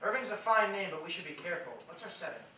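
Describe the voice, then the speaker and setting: A man's voice: sits high, very fast, natural voice. A man on stage playing a cautious, pedantic writer who voices doubts in a comic play. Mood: fussy. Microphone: distant recording of the stage. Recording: echoey theatre, distant mic, background noise.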